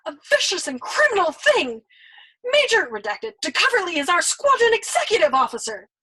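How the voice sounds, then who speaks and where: A woman voicing an indignant young American airman who shouts his outrage in a breathy voice, as she reads a storybook aloud. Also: very high-pitched, big pitch swings, normal speed, voice pushed up, exaggerated voice, rough recording. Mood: outraged.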